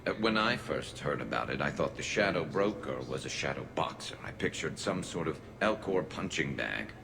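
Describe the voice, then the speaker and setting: Very deep, dry-witted soldier. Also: male voice, lively intonation, normal speed, gravelly, big-room sound, noisy background, full character voice. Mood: wry. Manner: dry, wry. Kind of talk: video game character acting.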